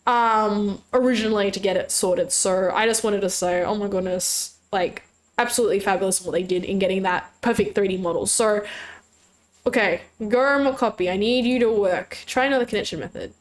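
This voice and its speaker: A woman's voice: mid-range voice, fairly quick. A woman streaming a fishing game, chatting casually in a bubbly way, with a slight sore throat. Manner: bubbly, chatty, frequent squeals and laughs. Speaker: VTuber streamer. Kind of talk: casual live-stream chat.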